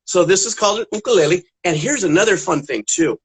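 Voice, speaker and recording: A man's voice: high voice, lively intonation, fast, breathy edge. Man casually explaining things to children. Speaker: guest puppeteer and ukulele player.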